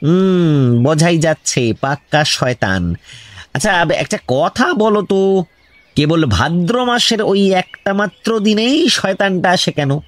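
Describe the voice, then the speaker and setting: An actor playing a pushy, impatient investigator in a comic radio drama, questioning insistently and self-importantly with a West Bengal accent. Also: male voice, sits high, lively intonation, slow pace, no echo. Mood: knowing. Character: pushy, impatient male investigator grilling a villager. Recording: music behind the voice.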